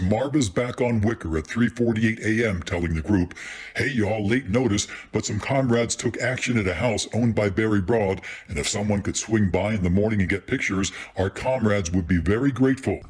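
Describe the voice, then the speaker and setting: A man's voice: fairly low pitch, a little fast, husky. A man narrating a scripted television news report as a reporter.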